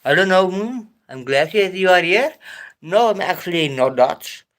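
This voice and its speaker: A South African man hosting a casual live stream, talking in a hesitant, halting way with many pauses and restarts. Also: high-pitched, lively intonation, a bit bright.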